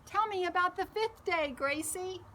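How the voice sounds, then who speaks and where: A woman voicing an eager, playful little boy in a children's storybook, excitable and fond of play-acting. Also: very high voice, moderate pace, slight background noise, voice pushed up. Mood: eager, asking. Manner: excitable, imitating animals and birds.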